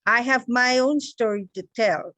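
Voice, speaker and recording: Slow, measured woman teacher. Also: on the high side, fairly bright tone, no room sound. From Guyana. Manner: measured, emphatic, lists words one by one. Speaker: meditation teacher. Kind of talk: inspirational spiritual talk.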